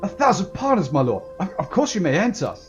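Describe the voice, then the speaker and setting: A man's voice: sits high, very animated, quick pace, highs cut off, music in the background, voice pushed up. A man voicing a polite, dutiful door guard in a fantasy game, formal and deferential. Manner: formal, apologetic.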